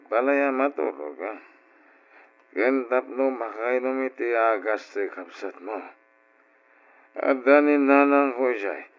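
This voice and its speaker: Slow-talking brooding Ilocano widower. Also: male voice, fairly low pitch. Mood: kindly, coaxing. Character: brooding hard-drinking widower, gruff but kindly. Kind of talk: old Ilocano radio drama acting. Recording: dull old radio drama, faint noise.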